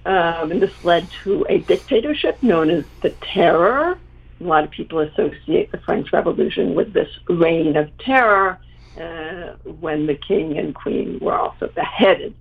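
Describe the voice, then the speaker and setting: A woman history professor explaining as a panel guest in a measured, lecturing way. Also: fairly low pitch, animated, gravelly. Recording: tinny phone-like line.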